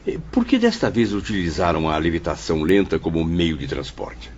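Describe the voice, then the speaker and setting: A man's voice: deep voice, very animated, moderate pace, darkish tone, a little hiss or hum. A man playing an eager, courteous spirit apprentice in an audio drama, emotive and a little hesitant. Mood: curious. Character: eager, homesick, polite male spirit apprentice. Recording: dull audio with clear background music.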